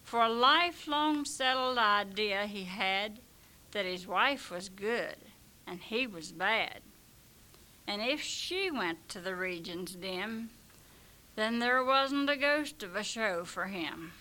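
A hoarse woman reciting a comic rhyming poem in an unhurried, expressive way. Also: medium pitch, low-quality recording.

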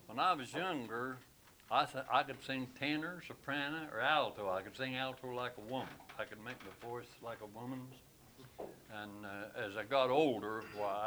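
A man's voice: medium pitch, sharp tone, a little hiss or hum, rough recording. An older man who directs a church choir, talking in a homespun, plain-spoken way between hymns. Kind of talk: folksy chat between hymns.

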